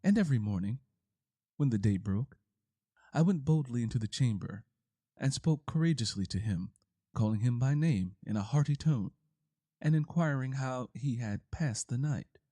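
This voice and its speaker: A man giving a dramatic first-person narration of a horror story, theatrical and deliberate, with pauses for suspense and a husky voice. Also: mid-range voice, animated, dark tone.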